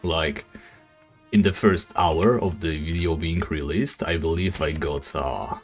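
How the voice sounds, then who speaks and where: A man streaming a game and chatting casually with viewers, speaking English as a second language, with a deep, raspy voice and a relaxed, rambling manner full of fillers. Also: unhurried, soft background music. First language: not English. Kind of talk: casual live-stream chat while gaming.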